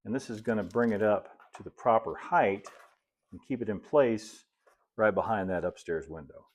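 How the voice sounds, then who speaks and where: A man, narrating a hands-on hobby tutorial in a relaxed, folksy way, as if thinking aloud while he works. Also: on the low side, moderate pace. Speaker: hobbyist presenter of model railroad build videos.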